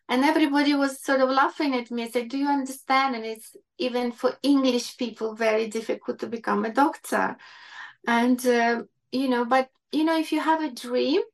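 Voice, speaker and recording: A woman in her fifties from Ukraine with a Ukrainian accent, a doctor telling her life story in an interview with many fillers and restarts. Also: fairly high pitch. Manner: hesitant, many fillers and restarts, non-native grammar. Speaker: guest doctor.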